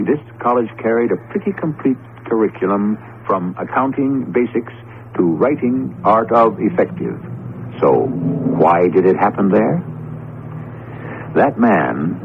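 A grave mystery storyteller speaks in a low, animated voice, pausing deliberately for dramatic weight. It is theatrical radio narration from the 1970s, band-limited like an old broadcast. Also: male voice, noisy background. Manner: measured, dramatic, with deliberate pauses. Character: grave, ominous male storyteller introducing a mystery tale. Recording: muffled 1970s radio recording with music.

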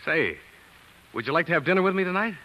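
A man's voice: high voice, lively intonation, quick pace, highs cut off. An actor playing an earnest young male science student and narrator in a 1940s radio drama, sometimes hesitant. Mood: awkward, eager. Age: young adult. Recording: vintage radio with musical underscore.

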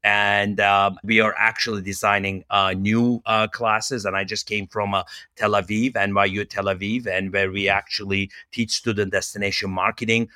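Podcast guest, male, upbeat, bright tone, pitched a bit low. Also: little pitch movement, no room sound. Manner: upbeat, many "uh" pauses, nonnative phrasing. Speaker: director of a university hospitality incubator, guest. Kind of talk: podcast interview.

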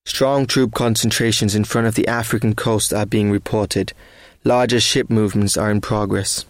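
Male actor as German army officer, weary, sceptical, measured diary reading, lowish voice, dry close sound. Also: steady tone, no echo, close to an everyday voice. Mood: sober, matter-of-fact. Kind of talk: read-aloud wartime diary, measured dramatic narration.

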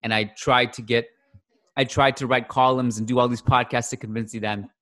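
A man's voice: mid-range voice, steady tone, brisk, fairly bright tone. A man co-hosting a football podcast, chatting casually and rambling with frequent restarts as he thinks aloud. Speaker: podcast co-host.

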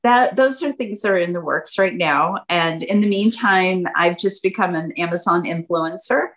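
Woman, fifties, slightly low voice, lively guest telling her story in an interview. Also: moderate pace. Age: 50s. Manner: animated, acts out quoted friends in a higher voice. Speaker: guest entrepreneur. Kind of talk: casual interview chat.

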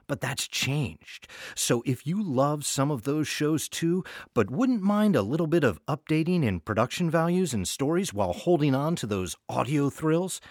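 A man's voice: medium pitch, breathy edge, no echo, studio quality. A man hosting a podcast in a chatty scripted welcome, with wry, playful asides. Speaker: artistic director and podcast host.

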